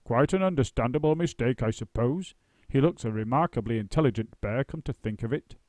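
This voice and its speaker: A man voicing a pompous, gruff older male stage actor who booms dramatically. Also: lively intonation, a little fast, a little mellow, no echo, lightly acted. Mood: booming.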